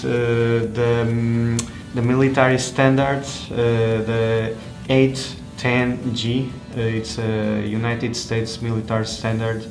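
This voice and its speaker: A man presents a product hands-on with a flat, unhurried delivery. He speaks haltingly, searching for words and repeating them as he goes. The sound is noticeably roomy. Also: medium pitch, music in the background. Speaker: tech reviewer presenting a phone. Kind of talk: unscripted hands-on product review.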